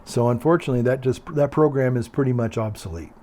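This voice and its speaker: An older man with a calm, quiet voice, chatting casually in a meandering, hesitant way and often repeating himself. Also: medium pitch, quick pace, a little hiss or hum. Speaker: video blogger. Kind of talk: casual rambling monologue.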